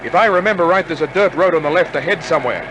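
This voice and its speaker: An actor playing a wisecracking, cool private detective in old-time radio crime drama, with a wry, clipped delivery. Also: male voice, on the high side, very fast, background noise, close to an everyday voice. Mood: urgent. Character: wisecracking hard-boiled male private detective, cool under pressure.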